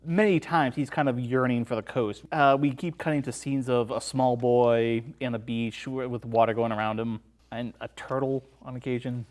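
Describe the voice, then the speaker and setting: A man's voice: medium pitch, steady tone, moderate pace. A man as a podcast guest in a casual film discussion, explaining things informally.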